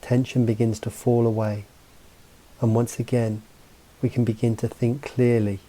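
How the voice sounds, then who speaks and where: A man leads a guided mindfulness meditation in a very dark, soft-edged and relaxed voice. His delivery is calm and measured, leaving space between phrases as he gently instructs. The pitch sits in an ordinary male range. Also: poor audio. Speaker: meditation guide and mental coach.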